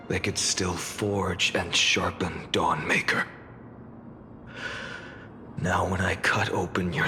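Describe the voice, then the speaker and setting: A world-weary fantasy game warrior with a low, slow voice. He speaks solemnly and with breathy menace, over clear background music. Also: exaggerated voice. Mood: ominous. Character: grave, weary male warrior worn by countless cycles, menacing. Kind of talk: video game voice acting.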